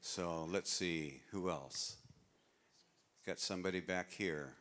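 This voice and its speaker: A man's voice: deep voice, slow pace, fairly bright tone. A man hosting a live community gathering, upbeat and laughing often as he calls on speakers. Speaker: event emcee. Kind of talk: live event hosting with audience.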